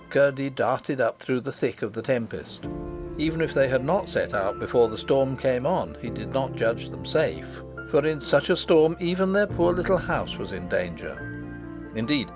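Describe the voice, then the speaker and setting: A man narrating an audiobook in an expressive, dramatic storytelling style. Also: mid-range voice. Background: background music.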